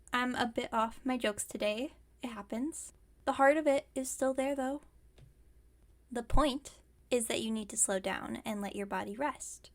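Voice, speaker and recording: A woman voicing a caring, wry young werewolf roommate in a casual, teasing, gently bossy ASMR roleplay monologue. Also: fairly high pitch.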